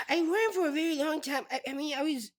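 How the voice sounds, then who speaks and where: A man voicing a tiny, simple ninety-two-year-old fisherman, earnest and boastful, in a halting comedy interview with sighs and repeated words. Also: very high-pitched, a bit bright. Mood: reminiscing.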